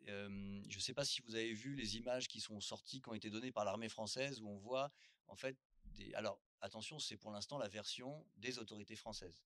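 A man moderates a round-table, building long questions with fillers along the way. His voice sits a little low and is recorded dry. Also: fairly quick, bright, forceful tone, no echo. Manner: loose, searching phrasing with fillers.

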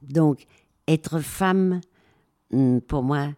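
An older woman, a war photographer, answering interview questions slowly, with many pauses and hesitations. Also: deep voice, dark tone. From raised in Spain.

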